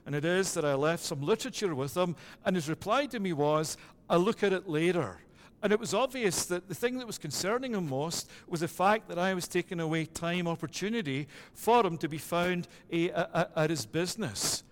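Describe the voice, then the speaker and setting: Scottish man, middle-aged pastor, higher voice, measured sermon delivery, long church reverb. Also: expressive. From Scotland. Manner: measured preaching with repeated "it is that" phrasing.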